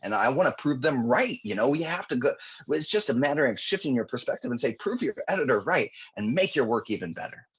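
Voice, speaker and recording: A man talking as a guest author in a podcast interview, earnest and animated, often restarting his sentences. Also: mid-range voice, brisk, husky. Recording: dull lo-fi audio.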